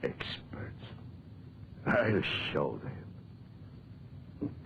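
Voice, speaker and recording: An actor playing a stubborn amateur antiquarian who mutters theatrically to himself in a 1940s radio drama. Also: male voice, rumbling low, big pitch swings, very slow, vocal fry, full character voice. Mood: muttering, determined. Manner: theatrical muttering with tag questions and repeats. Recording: muffled, very noisy old 1940s radio recording.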